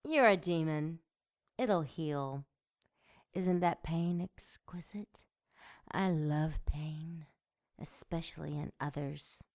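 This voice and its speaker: A woman's voice: low voice, slow, a little mellow. A woman playing a seductive, domineering demon in an audio drama, with a sultry, mocking delivery. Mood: sadistic, sultry. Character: seductive, sadistic female demon, taunting and domineering.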